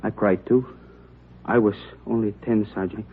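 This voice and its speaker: An actor playing a confiding neighborhood grocer in a mid-century radio crime drama, talking in broken colloquial phrases. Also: male voice, on the low side, steady tone, moderate pace, husky. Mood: pained, reminiscing. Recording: dull vintage radio recording.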